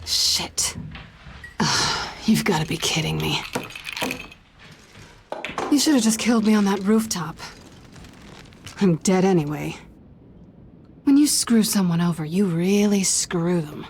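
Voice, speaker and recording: A woman's voice: on the low side, slow pace, gravelly, pauses often, a little hiss or hum. An actress playing a tough, streetwise female thief in a video game, wry, cool and guarded. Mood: bitter, dismayed.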